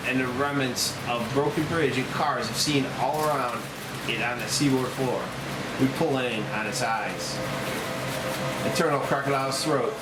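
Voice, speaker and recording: A man's voice: mid-range voice, moderate pace. A man narrating scene headings and action from a script at a table read. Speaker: narrator of screenplay directions. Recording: roomy echo, loud air-conditioner-like noise.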